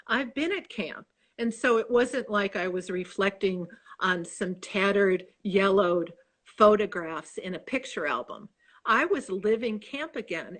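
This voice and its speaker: A woman's voice: medium pitch, fairly bright tone. A woman in her sixties with a Chicago accent, an author talking eagerly and in rambling restarts over a video call. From Midwest United States. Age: 60s. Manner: enthusiastic, rambling, many restarts. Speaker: author guest. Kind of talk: casual video chat interview.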